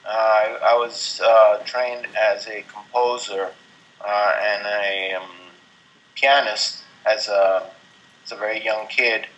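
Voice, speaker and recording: A middle-aged man from Venezuela answers interview questions at an unhurried pace, his voice sitting a little low. He pauses often, filling gaps with ums and restarting his sentences as he thinks aloud. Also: flat delivery, fairly bright tone, dull audio. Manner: slow, hesitant, many ums and restarts. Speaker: interview guest, artist and composer. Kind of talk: casual interview talk.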